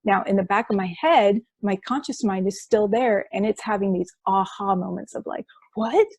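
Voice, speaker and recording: A woman's voice: mid-range voice, normal speed, a little mellow, dry recording. A woman who works as a hypnosis practitioner, explaining her sessions as a guest in an interview, enthusiastic and often restarting her phrases.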